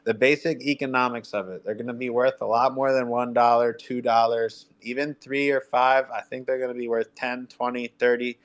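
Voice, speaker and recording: A man speaks as a music artist and radio host making a live pitch. His delivery is hyped and salesy, aimed directly at the people listening. His voice sits at an ordinary middle pitch. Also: flat delivery, moderate pace, hardly any pauses. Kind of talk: promotional livestream pitch.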